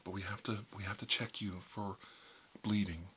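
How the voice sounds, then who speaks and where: A man speaking softly and reassuringly, often in a whisper, as a caregiver in a medical roleplay. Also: on the low side, steady tone, moderate pace, slight background noise.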